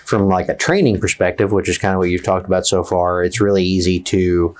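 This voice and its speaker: A man with a low voice hosts a workplace interview. He poses his questions in a measured, slightly hesitant way and fills the gaps with casual fillers. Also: fairly even intonation. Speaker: interviewer, compliance officer.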